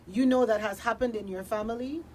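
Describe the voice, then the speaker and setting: Earnest woman, slightly high voice. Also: a little fast, darkish tone. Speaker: radio discussion panelist. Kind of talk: informal, earnest discussion. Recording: faint background noise.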